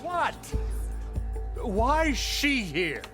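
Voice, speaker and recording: High-pitched, blustering boss. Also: male voice, sing-song, very slow, music in the background, exaggerated voice. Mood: irritated. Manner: gruff, belligerent. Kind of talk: game cutscene dialogue.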